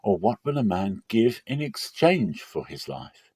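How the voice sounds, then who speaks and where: A man voicing a solemn, authoritative male teacher and prophet in a scripture reading, grave, emphatic and deliberate. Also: on the low side, very animated, a little mellow, husky, no echo, clean studio sound, full character voice. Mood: solemn, urgent.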